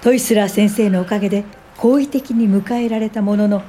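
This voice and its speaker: Older lady acting narrating her life for radio drama, earnest, warm dark tone, bit of noise. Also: medium pitch, steady tone, fairly quick, close to an everyday voice. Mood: reflective. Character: earnest Japanese woman social worker recalling her life. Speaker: narrator and lead actor.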